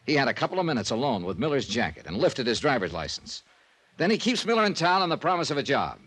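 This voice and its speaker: A man's voice: fairly high pitch, fairly bright tone. A man playing a wry, persistent insurance investigator, narrating and talking in clipped 1950s radio drama style. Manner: punchy, clipped, conversational. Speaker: narrator and lead detective. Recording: old-time radio recording.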